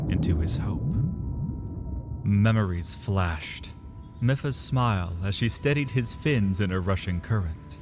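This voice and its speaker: A man narrating a fantasy audio drama from a script in a solemn, intense storytelling manner. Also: fairly low pitch.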